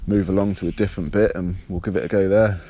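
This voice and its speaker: A man with a blocked nose and a slightly nasal voice, casually talking through his fishing outdoors by a dam in a relaxed, explanatory way. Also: low voice, quick pace, a little hiss or hum. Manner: relaxed, explanatory, thinking aloud. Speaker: fishing vlogger. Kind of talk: casual outdoor vlog narration.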